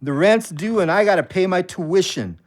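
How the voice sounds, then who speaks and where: A troubled, bitter ex-convict, widely swinging voice. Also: male voice, high-pitched, animated, moderate pace. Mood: sarcastic, accusing. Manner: bitter, sarcastic, coarse. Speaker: actor in an audio play. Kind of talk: naturalistic audio drama acting.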